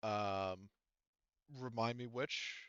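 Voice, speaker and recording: A man's voice: fairly low pitch, steady tone, very slow, a bit bright, clear, resonant tone, no room sound, highs cut off. A man working as a game master, casually explaining tabletop game rules in a conversational way peppered with swearing. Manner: profane, conversational.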